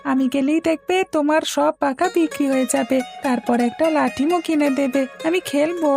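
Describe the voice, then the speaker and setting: A woman's voice: high-pitched, fairly even intonation, brisk, gravelly, a little mellow. A woman voicing an eager, chatty, pouty young village boy in a cartoon story, childlike and argumentative. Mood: pleading. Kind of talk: Bengali cartoon story acting. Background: music.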